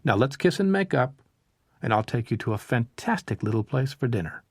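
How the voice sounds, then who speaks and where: A man's voice: mid-range voice, very animated, fairly quick, slightly rough, no echo, clean studio sound. A man voicing a soldier who jokingly plays a doting husband, with a mock-tender, coaxing manner. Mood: teasing.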